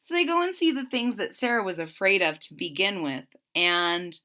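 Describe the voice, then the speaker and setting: A woman reading aloud to children, expressive and explanatory. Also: medium pitch, normal speed. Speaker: storybook reader.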